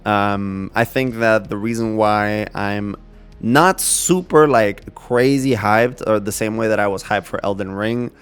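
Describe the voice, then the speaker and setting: A man's voice: lowish voice, a little slow, smooth, faint music behind. A man giving casual reaction commentary as a gaming video creator, rambling as he thinks aloud.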